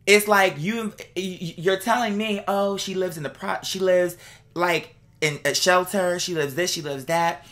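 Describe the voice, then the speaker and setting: A woman's voice: fairly low pitch, a little slow, a bit bright. A woman ranting casually to her viewers, blunt, sassy and exasperated, with emphatic, slangy, profanity-laced delivery. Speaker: vlog host. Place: indoors at home.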